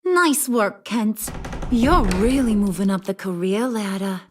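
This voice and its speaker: A woman's voice: medium pitch, measured pace, over music, natural voice. A woman voicing a brash, mocking gangster villainess, sassy and playfully dangerous, in a video game. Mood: taunting threat. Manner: taunting, sassy, sing-song.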